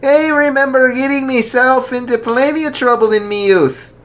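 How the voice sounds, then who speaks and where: A storyteller voicing a tall, jolly older archbishop who speaks kindly and gently, pitched far above their own voice. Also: male voice, steady tone, normal speed.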